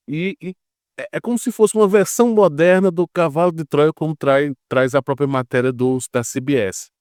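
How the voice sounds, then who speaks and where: A man's voice: medium pitch, darkish tone, dry recording, clean studio sound. A man with a Brazilian Portuguese accent giving informal news commentary as an online geopolitics commentator, explaining step by step and often checking in with short tag questions. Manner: explanatory, peppered with tag questions like "right?" and "okay?".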